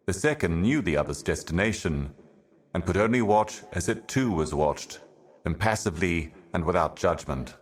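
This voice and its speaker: A man voicing an ancient, otherworldly envoy in a video game, delivering cryptic monologues in a solemn, measured, portentous manner. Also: sits low, lively intonation. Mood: solemn, ominous. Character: ancient cosmic envoy, solemn otherworldly prophet serving higher powers.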